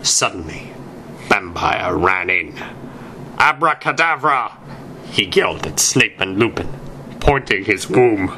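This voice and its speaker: A man's voice: lowish voice, sharp tone, a little hiss or hum. A man narrating fan fiction aloud in a theatrical reading style.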